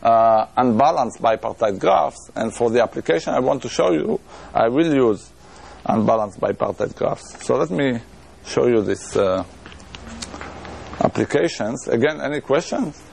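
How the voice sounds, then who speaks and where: A man's voice: medium pitch, lively intonation, measured pace, gravelly, darkish tone, slight background noise. A middle-aged man with an Israeli accent giving a lecture in a room, thinking aloud with many restarts and fillers. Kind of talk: academic lecture on math.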